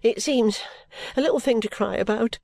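A woman voicing a sulky, overworked young woman who complains abruptly and breaks into pitched outbursts. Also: very animated, moderate pace, a little mellow, no echo. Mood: exasperated.

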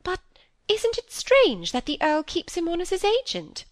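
Female reader as timid older spinster, higher pitched, swooping intonation, easily shocked, peacemaking, storybook character. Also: big pitch swings, moderate pace, dry recording, clean studio sound. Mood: meek. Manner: timid, breathlessly exclaiming, peacemaking.

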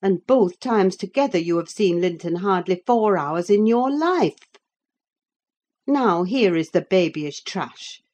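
A woman's voice: fairly low pitch, lively intonation, slow, darkish tone, muffled recording. Woman playing a stern, scornful servant woman. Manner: grave, sarcastic, scolding. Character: stern, scornful woman servant scolding her young mistress. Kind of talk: audiobook character acting.

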